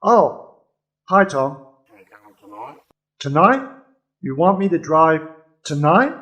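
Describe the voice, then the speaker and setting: A man's voice: on the high side, expressive, takes it slowly, muffled recording, full character voice. A man playing a tough, sardonic werewolf hero, narrating a radio play in a wry, gritty hardboiled style. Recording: radio play under very loud music.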